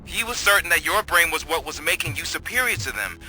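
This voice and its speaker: A man's voice: on the high side, sing-song, fairly quick, pushed and bright, soft background music, exaggerated voice. An actor playing an urgent male ally in a video game, confiding information in a briefing manner.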